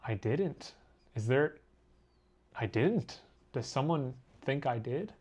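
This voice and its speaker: This is a young man from Korea talking easily to his stream audience as he sketches. His voice sits at an ordinary middle pitch, and his chatter is loose and self-deprecating, with frequent laughs. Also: lively intonation, slow pace, a little mellow, slightly rough. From born in Korea, raised abroad. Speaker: artist live-streaming. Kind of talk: casual live-stream chat reading comments.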